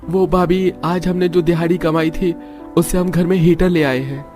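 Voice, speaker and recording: A man as an eager, high-voiced younger brother. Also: steady tone, normal speed, a little mellow, faint music behind, natural voice.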